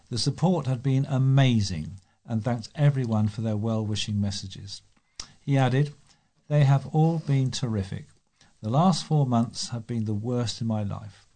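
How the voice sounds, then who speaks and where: A British man reads local news items from a script as a volunteer newsreader. His voice is mellow and dark in tone, sitting at an average pitch for a man.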